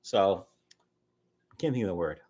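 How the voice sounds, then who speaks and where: Animated fifty-something man chatting live. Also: deep voice, normal speed. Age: 50s. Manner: chatty, rambling, answers viewer comments aloud. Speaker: fishkeeping livestream host reading chat. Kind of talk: casual live chat.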